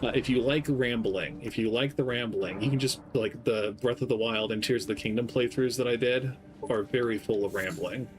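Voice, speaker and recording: A young man streaming a game, talking in a gentle, soft-spoken, rambling way with lots of ums. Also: mid-range voice, moderate pace. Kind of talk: casual gameplay stream chat. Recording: game music playing behind. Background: game music.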